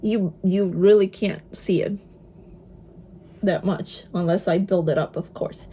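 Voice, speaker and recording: A woman's voice: fairly low pitch, fairly even intonation, unhurried, mellow, a little hiss or hum. A young woman with a Spanish accent, a native Spanish speaker, chatting casually while doing her makeup and dropping in Spanish words. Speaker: vlogger. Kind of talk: casual get-ready-with-me chat.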